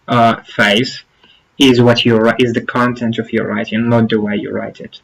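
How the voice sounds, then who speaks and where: A young man whose first language is Arabic, hosting his own channel and giving application tips in an informal spoken tutorial. He sounds earnest and advisory, stresses points by repeating them, and speaks deliberately with restarts and fillers. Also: lowish voice, low-quality recording. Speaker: YouTube channel host giving application advice.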